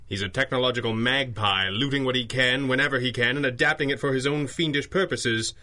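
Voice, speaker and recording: A man's voice: mid-range voice, steady tone, a little fast, bright, forceful tone, close to an everyday voice. A man acting a wry, analytical masked crime fighter with precise, formal speech in a 1930s-style radio drama. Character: urbane, analytical masked crime-fighting hero, wryly confident.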